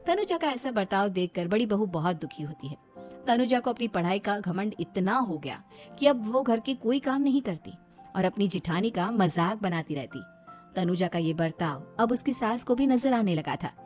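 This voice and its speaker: A woman narrator with a mid-pitched voice reads a scripted moral story. It is told as cartoon storytelling, with music playing behind her. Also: lively intonation, moderate pace, a little mellow.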